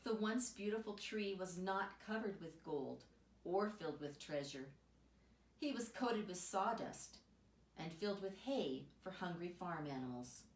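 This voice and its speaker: A woman reading a children's picture book aloud as an expressive storyteller, recorded in a roomy space away from the microphone. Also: lowish voice, measured pace. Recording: roomy echo, distant microphone.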